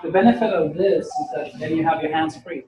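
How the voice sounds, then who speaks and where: A man's voice: a little high, brisk, warm tone, muffled recording, a little hiss or hum. A man from Portugal with a Portuguese accent, giving a casual, chatty university lecture with many fillers. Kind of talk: classroom lecture. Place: lecture hall. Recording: echoey lecture hall recording.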